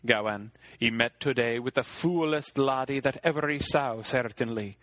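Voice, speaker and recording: A man's voice: mid-range voice, normal speed, fairly bright tone, no echo, natural voice. A man voicing a troubled medieval king, speaking archaic verse in a grave way.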